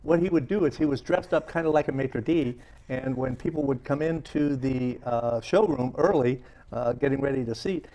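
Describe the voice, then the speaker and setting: A male interview host with a mid-range voice, talking in an eager, loose way. He stumbles into his sentences with plenty of fillers and restarts, then picks up speed when something excites him. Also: mid-range voice, poor audio. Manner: halting, many fillers and restarts; enthusiastic, chuckles often. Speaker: talk show host. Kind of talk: casual interview chat.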